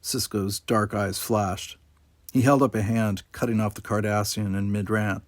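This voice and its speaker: A man reading a story aloud as an expressive audiobook narrator. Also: lowish voice, a little slow, dry recording. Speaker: amateur audiobook reader.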